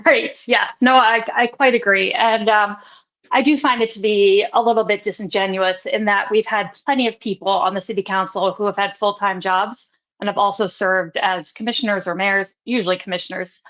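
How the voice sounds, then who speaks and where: A woman hosting a local politics podcast, interviewing a candidate in a measured way, hedging with fillers. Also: medium pitch, fairly even intonation, a bit bright, lo-fi sound. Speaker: podcast host.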